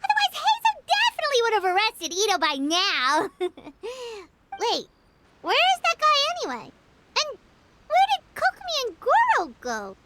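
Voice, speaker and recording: A tiny, curious fairy girl from a video game. Her childlike voice is squeaky and extremely high, with very bouncy intonation. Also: huge pitch swings, moderate pace, heavy background noise, full character voice. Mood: chirpy, puzzled. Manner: refers to self in third person. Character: small childlike floating fairy girl companion, chirpy and curious.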